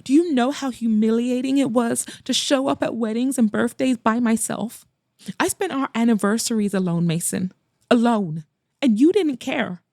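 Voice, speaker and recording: A hurt, bitter woman in a romance audiobook, played by a woman narrator. She is accusing and emotional, with sharp words in a soft dark tone, recorded close and dry. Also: fairly high pitch, moderate pace, vocal fry, dry recording, voice pushed up. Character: hurt, resentful woman confronting her former lover.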